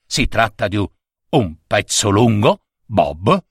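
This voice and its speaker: A man's voice: lowish voice, big pitch swings, slow, breathy edge, no room sound, studio quality, heavily acted. A man voicing a grumpy middle-aged newspaper foreman who growls his orders. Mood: gruff. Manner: growling, curt.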